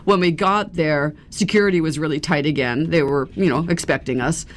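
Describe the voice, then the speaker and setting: Woman, low voice, chatty storyteller, radio interview, faint hiss. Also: brisk. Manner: chatty, laughs often, storytelling. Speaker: activist guest. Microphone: radio studio microphone.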